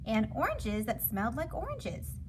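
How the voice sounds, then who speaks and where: A woman narrating a children's storybook aloud in an expressive read-aloud style. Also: on the high side, normal speed, noisy background. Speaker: storybook reader.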